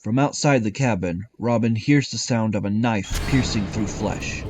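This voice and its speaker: A man's voice: lowish voice, normal speed, over music. A man reading scripted dramatic narration for an audio drama.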